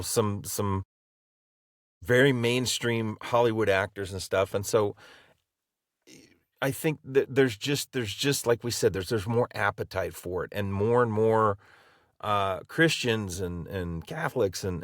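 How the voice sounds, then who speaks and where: A man's voice: lowish voice, dry recording, studio quality. A man co-hosting a podcast, talking enthusiastically and rambling with many "you know"s. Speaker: podcast co-host. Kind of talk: casual podcast conversation.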